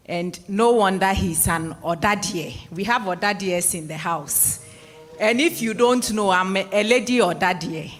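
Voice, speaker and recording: A woman in her forties from Ghana, moderating a public lecture in a large hall, summing up the talk in a measured, formal way before opening the floor. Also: mid-range voice, soft background music. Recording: echoey hall with long reverb.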